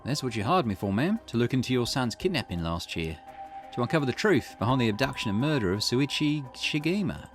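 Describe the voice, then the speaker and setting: A man's voice: medium pitch, very animated, brisk, soft background music, pitched above the performer's own voice, lightly acted. A man voicing a dry, inquisitive, businesslike male detective in dialogue read from a visual novel. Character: male private investigator, wry, inquisitive and businesslike.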